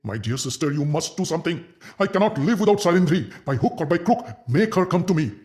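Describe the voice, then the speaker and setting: A lustful, arrogant general from a storybook tale, smug and coaxing, as a male narrator puts him on. His voice sits high and swoops about. Each line comes out leering and self-satisfied. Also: animated, fast talker, darkish tone, slightly rough. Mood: pleading. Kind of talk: storybook character voice.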